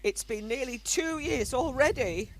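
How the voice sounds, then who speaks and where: A woman's voice: high voice, animated, a little slow. An actress playing an anxious, caring older mother and grandmother in an amateur wartime radio drama, earnest and motherly. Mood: fearful.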